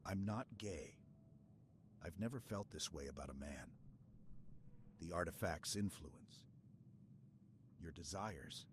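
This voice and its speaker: A man playing a stoic, earnest super-soldier in an intimate sci-fi ASMR roleplay, speaking in a halting, hushed way with dramatic pauses. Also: low voice, background noise. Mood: earnest, apologetic.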